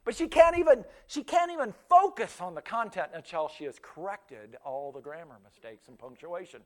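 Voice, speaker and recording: High-voiced pastor in his fifties. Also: animated, moderate pace. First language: Pennsylvania Dutch. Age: 50s. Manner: conversational storytelling with frequent restarts and stammered repeats. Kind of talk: sermon.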